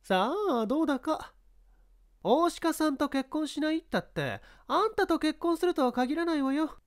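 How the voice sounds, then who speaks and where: Woman performing a sassy young woman, high and lively. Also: normal speed, dry recording, clean studio sound. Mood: teasing, defiant. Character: bold, teasing, defiant young woman. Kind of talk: audiobook character acting.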